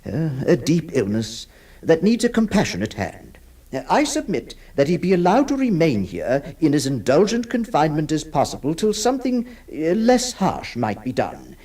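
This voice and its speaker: An actor playing a troubled, kindly lawyer who narrates and stammers with many hesitations. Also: male voice, a little high, huge pitch swings, normal speed, dark tone, husky, heavily acted. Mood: pleading. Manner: hesitant, stammering, full of uh's. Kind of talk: old radio drama acting.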